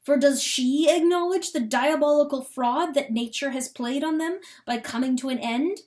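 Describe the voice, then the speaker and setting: A woman's voice: high-pitched, moderate pace, darkish tone. A woman giving a theatrical, emphatic dramatic reading of a story as an audiobook narrator. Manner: theatrical, emphatic, rhetorical questions.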